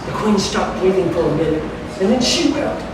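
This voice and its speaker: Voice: medium pitch, lively intonation, normal speed. A person reading a steampunk fairy tale aloud at a live event, now and then stumbling over and repeating words. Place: live event space at a carnival. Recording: very echoey, noisy room, distant mic.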